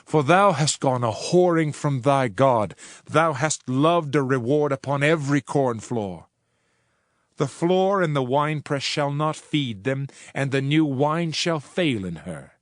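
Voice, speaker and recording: A man's voice: medium pitch, lively intonation, a little slow, no echo, studio quality, lightly acted. A man playing a solemn Old Testament prophet in a dramatized Bible reading, declaiming judgment in a grave, formal manner. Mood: grave, sorrowful. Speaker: scripture narrator. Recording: music under the voice. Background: music.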